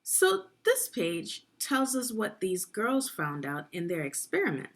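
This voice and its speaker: A woman with a slightly husky voice reads aloud to young children as a teacher would. Her pace is unhurried and her pitch moves in lively swings as she explains and puts questions to the listener. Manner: instructional, prompting listeners with questions. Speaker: teacher leading a children's read-along. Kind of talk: guided read-aloud of a children's science book for young learners.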